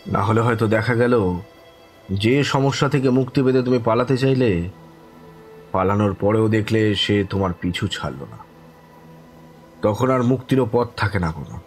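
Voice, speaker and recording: Man acting a grave, low-voiced weary storyteller. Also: faint music behind, lightly acted. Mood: grave, advising. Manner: measured, earnest, philosophical. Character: grave, weary older-brother storyteller of eerie experiences. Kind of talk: audio horror story acting.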